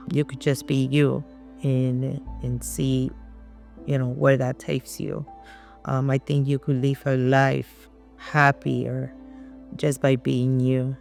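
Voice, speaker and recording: Slow, gravelly Puerto Rican woman. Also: extremely low pitch, a little mellow. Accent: Puerto Rican Spanish. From Puerto Rico. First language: Spanish. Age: middle-aged. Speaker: interview guest. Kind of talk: personal life story.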